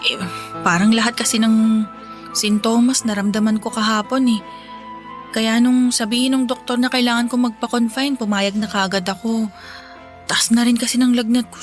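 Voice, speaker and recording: A woman playing a young office worker, weak and feverish in a hospital bed, talking softly and politely in a radio drama. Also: fairly high pitch, little pitch movement, normal speed, faint music behind, lightly acted. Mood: tired. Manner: tired, soft, polite.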